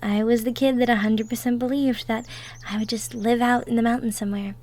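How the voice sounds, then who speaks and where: Woman playing high-voiced, sweet cottagecore country girlfriend. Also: steady tone, fairly quick, mellow, natural voice. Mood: wistful, reassuring. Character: cheerful, gentle young cottagecore woman from the woods. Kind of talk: roleplay audio drama, intimate one-sided conversation.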